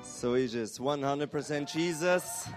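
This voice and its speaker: Man, Swiss German accent, high voice, preaching, informal, direct questions to listeners. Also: unhurried, faint music behind. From Switzerland. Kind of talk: sermon.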